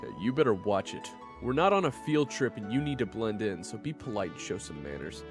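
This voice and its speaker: Man as a deep-voiced grumpy vampire hunter. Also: big pitch swings, a little fast, creaky, over music, heavily acted. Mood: wary, stern. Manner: dry, curt, sardonic. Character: grumpy, jaded male vampire hunter, easily exasperated. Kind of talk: audio roleplay acting.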